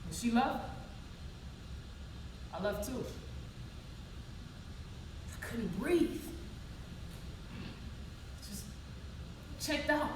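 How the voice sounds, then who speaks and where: A woman's voice: a little high, expressive, extremely slow, pauses often. Woman acting emotional stage monologue, echoey and distant. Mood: grieving. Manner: emotional, colloquial, emphatic, pleading. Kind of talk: theatrical one-act stage monologue. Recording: roomy echo, distant mic, loud noise.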